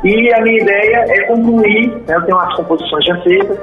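A man talks as a guest musician, relaxed and rambling with filler tags. His voice sits fairly high for a man. The recording is roomy and a bit dull, like a radio studio. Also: brisk, soft background music. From Northeast Brazil. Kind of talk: radio interview answers.

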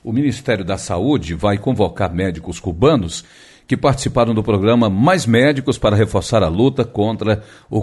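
News anchor, man, Brazilian Portuguese accent, low and husky. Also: a little mellow. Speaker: radio news presenter. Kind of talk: news reading.

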